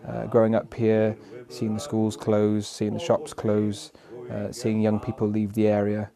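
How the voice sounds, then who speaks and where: A man from West Wales, a folk musician, speaking in a measured, thoughtful way with hesitant pauses. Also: fairly low pitch, completely flat delivery, vocal fry, crowd noise. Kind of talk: reflective spoken appeal for a project. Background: plucked guitar music.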